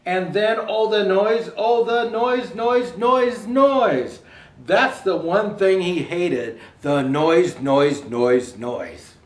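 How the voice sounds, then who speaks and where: Male storyteller, swooping expressive pitch, unhurried, theatrical emphasis, children's Christmas book, roomy distant sound. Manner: theatrical, drawn-out repetition and emphasis. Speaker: storyteller reading a Christmas book as Santa Claus. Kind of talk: animated children's story reading. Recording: roomy echo, distant microphone.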